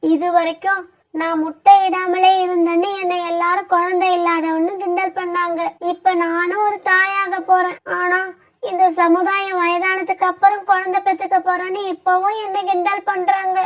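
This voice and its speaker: Brief: woman as a sad, aging mother crow, very high and plaintive, cartoon. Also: level tone, normal speed, fairly bright tone. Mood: sorrowful. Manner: plaintive, tearful pleading. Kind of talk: cartoon voice acting.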